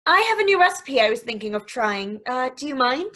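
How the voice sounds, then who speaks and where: A woman's voice: high voice, big pitch swings, a little fast, sharp tone, heavily acted. A woman playing a scheming, eager young plotter in a table reading of a stage play. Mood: falsely cheerful.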